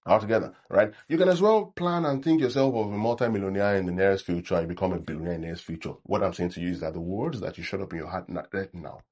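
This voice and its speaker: Nigerian man preaching, deep husky voice, conversational sermon with check-ins. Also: quick pace, hardly any pauses, a little mellow. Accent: Nigerian English. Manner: acts out sample prayers in a mocking tone. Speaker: pastor.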